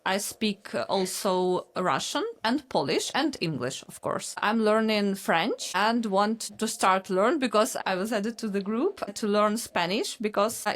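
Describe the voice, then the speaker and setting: A woman with a Ukrainian accent, a native Ukrainian speaker, hosting a casual podcast interview with hesitant, simple phrasing. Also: mid-range voice. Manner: hesitant, short affirming replies, simple phrasing. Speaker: podcast host.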